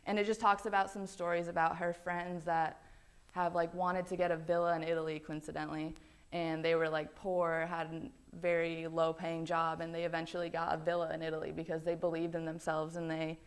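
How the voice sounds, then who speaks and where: Chatty young woman with a smooth voice. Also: deep voice, level tone, brisk. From Belgium. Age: young adult. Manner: chatty, many ums and likes. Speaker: college student introducing herself. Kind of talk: casual self-introduction.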